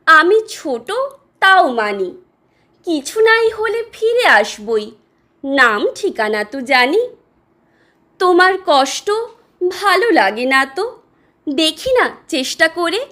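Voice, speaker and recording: A woman's voice: sits high, big pitch swings, slow, pauses often, sharp tone, exaggerated voice. A woman reciting a poem as an eager young village boy who peddles dreams, coaxing and earnest. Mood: earnest, pleading. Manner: coaxing, earnest, street-seller address.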